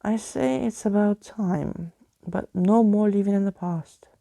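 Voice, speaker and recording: A woman's voice: mid-range voice, unhurried, vocal fry, mellow, no echo. A woman voicing a sarcastic young girl in a storybook, blunt and exasperated. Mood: blunt, impatient.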